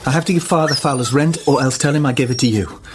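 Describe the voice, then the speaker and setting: An actor playing a proud, cornered young squire's son, bitter and indignant in a period radio drama. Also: male voice, mid-range voice, fast, very noisy, close to an everyday voice. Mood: angry. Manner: indignant, tense, clipped threats.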